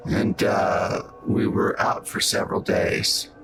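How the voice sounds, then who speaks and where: A man voicing eerie identical triplet brothers who talk as one, in a flat, hesitant way, for a tabletop game. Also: sits low, slow pace, gravelly, faint music behind, pitched below the performer's own voice, exaggerated voice. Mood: eerie calm.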